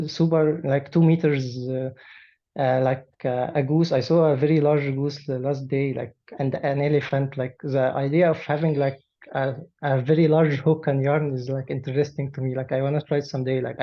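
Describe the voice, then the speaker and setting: A man's voice: fairly high pitch, highs cut off. A young Egyptian man in his twenties, a native Arabic speaker, chatting hesitantly as an interview guest, with many fillers and restarts. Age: 20s. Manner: hesitant, heavy use of "like", frequent restarts and laughs. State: a little nervous. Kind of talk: casual interview chat.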